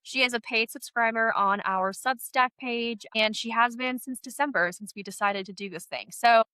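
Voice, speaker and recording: Stuffy-nosed woman, bright crisp voice. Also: on the high side, moderate pace, no echo, poor audio. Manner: chatty, animated, laughs often. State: stuffy from a head cold. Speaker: podcast co-host. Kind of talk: casual chat.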